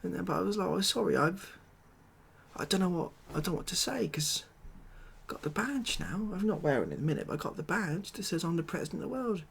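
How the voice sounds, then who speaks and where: A British man doing a soft-spoken ASMR comedy roleplay as a glum, overwhelmed ex-builder, rambling along in a hesitant, deadpan way. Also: high voice, fast, creaky, breathy edge. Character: glum, overwhelmed ex-builder made president of the world.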